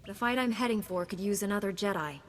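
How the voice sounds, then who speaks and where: A woman's voice: medium pitch, quick pace, close to an everyday voice. A woman playing a determined young warrior knight in a video game, direct and composed. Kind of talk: dramatic video game dialogue. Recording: very loud music behind.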